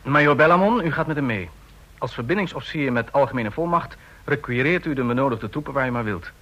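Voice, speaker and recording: Casting: an authoritative general, middle-pitched, formal and courteous, used to giving orders, radio drama. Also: male voice, expressive, fast, fairly bright tone, muffled recording. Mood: commanding. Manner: formal, commanding. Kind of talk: Dutch radio play acting.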